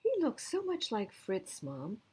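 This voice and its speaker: Woman as young boy, storybook character, earnest, eager, higher-pitched, animated intonation. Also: a little slow, husky, full character voice. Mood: hopeful, admiring.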